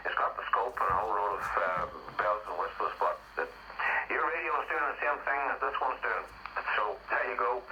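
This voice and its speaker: A middle-aged man with an Irish accent, an amateur radio operator chatting casually in radio jargon, heard over a two-way radio. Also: on the low side. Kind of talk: casual radio contact chat. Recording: dull radio link, faint noise.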